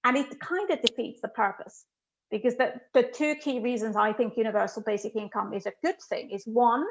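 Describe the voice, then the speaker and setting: A woman's voice: on the high side, fairly even intonation, brisk, dull audio, background noise. A middle-aged woman from Finland, a guest economist answering questions in an online talk, speaking emphatically and thoughtfully with frequent "you know" fillers.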